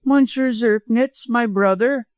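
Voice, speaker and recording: A defiant storybook widow, put on by a male reader, disdainful and steady. The voice is lifted high, and the recording is dry and close. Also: slow, dry recording. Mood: urgent. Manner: steady, scornful. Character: defiant, disdainful widow, a woman standing firm.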